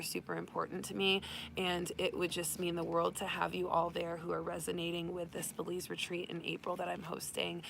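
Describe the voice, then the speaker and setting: A woman who has nearly lost her voice, hoarse, hosting an upbeat, spiritual tarot reading for her channel. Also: fairly low pitch, fairly bright tone, a little hiss or hum, rough recording. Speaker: tarot reader and channel host. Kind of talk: casual livestream reading. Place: Arizona.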